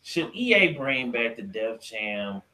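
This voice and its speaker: Bright-voiced man hosting a casual podcast. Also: mid-range voice, sing-song, takes it slowly, poor audio. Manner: informal, slangy, swearing. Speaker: podcast host. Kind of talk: casual podcast chat with read-out lists.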